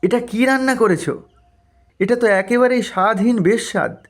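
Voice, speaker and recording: A man's voice: high voice, moderate pace, pitched above the performer's own voice. A man voicing an exasperated young village husband in a cartoon story, emphatic and complaining. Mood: disgusted.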